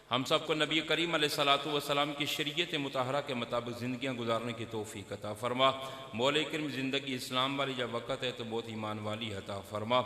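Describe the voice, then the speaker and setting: Man, Punjabi-accented Urdu, devotional prayer, bright edge, booming echo, faint ambience. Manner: measured, devotional recitation. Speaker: prayer leader. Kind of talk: closing supplication prayer. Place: outdoor religious gathering with loudspeakers. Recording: echoey hall with long reverb.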